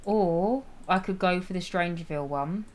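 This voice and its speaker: A woman streaming a game, chatting casually with viewers and laughing often, her voice slightly raspy. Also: on the low side, normal speed. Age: adult with a school-age child. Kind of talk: casual live-stream chat while playing.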